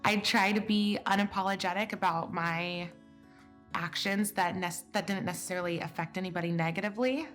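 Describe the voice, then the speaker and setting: A woman's voice: lowish voice, a little fast, fairly bright tone. Thoughtful woman in her twenties over music. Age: 20s. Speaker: interviewee. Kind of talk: reflective interview. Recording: music clearly underneath.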